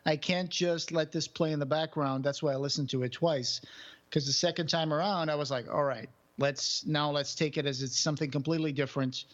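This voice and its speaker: Male podcast co-host, higher-pitched, enthusiastic and emphatic, casual review chat, slightly dull recording. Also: steady tone, fairly quick, a bit bright.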